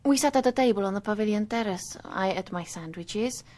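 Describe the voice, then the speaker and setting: An actress playing a policeman's gentle, candid artist wife in a radio drama, intimate and reflective as she recounts things. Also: mid-range voice, fairly quick, natural voice. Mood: intimate, chatty.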